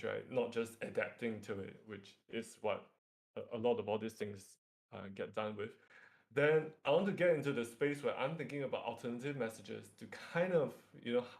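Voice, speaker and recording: A man with a Singaporean accent and a mid-range voice talks through material as a workshop facilitator. His delivery is an informal lecture, feeling its way through phrases and often checking in with a short tag. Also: mid-range voice.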